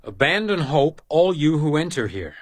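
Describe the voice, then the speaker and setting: An actor playing a gruff, hard-drinking hotel detective in a radio crime drama, speaking in terse, urgent phrases. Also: male voice, on the high side, big pitch swings, normal speed, dry recording. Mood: brisk, focused.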